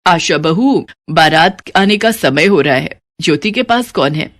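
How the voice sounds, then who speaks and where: A woman plays an older mother-in-law in a village moral story cartoon. She is stern and commanding, quick to find fault with her daughters-in-law. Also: deep voice, expressive, a bit bright. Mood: questioning. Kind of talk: animated moral story character dialogue.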